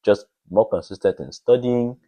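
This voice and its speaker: A man's voice: on the low side, moderate pace, lo-fi sound. A young adult man chatting casually as a podcast guest, informal and peppering his speech with "like". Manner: informal, frequent "like" as filler. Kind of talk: casual chat.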